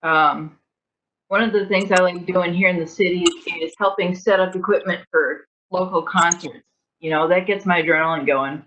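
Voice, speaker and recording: A woman's voice: lowish voice, fairly even intonation, normal speed, a bit bright. A woman talking casually on a panel as a member of an amateur cast, over dull, noisy audio. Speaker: radio play cast member.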